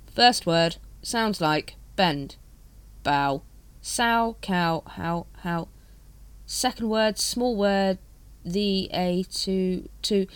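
A woman's voice: medium pitch, drawn-out words, smooth, no echo. A woman voicing a weary, sardonic male watch captain in a storybook, dry and resigned in manner.

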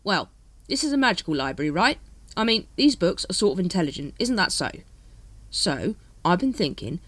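A woman's voice: medium pitch, fairly bright tone, dry recording, pitched above the performer's own voice. A woman voicing a stubborn, dryly evasive male watch captain in an audiobook, with stiff replies. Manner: stiff, clipped, automatic replies. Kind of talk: audiobook character voice.

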